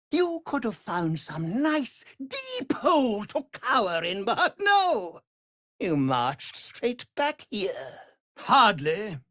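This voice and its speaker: An actor playing a cruel, pompous immortal vampire lord in a fantasy video game, menacing and theatrical, moving between hushed threats and grand declarations. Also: male voice, on the high side, no echo, clean studio sound, heavily acted. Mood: mocking amusement.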